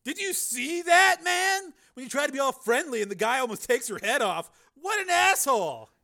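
A brash, overfriendly bar patron, done by a male narrator, who keeps wheedling and won't take no. His voice sits high and bounces all over, with a gravelly rasp. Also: very animated, normal speed, bright, forceful tone, exaggerated voice. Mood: rattled.

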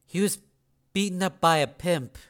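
Grave, world-weary woman, acted by a male narrator, light high register, subdued and hesitant, for audio fiction. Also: moderate pace, a bit bright. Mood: grave, reluctant. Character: grave, world-weary woman breaking bad news gently.

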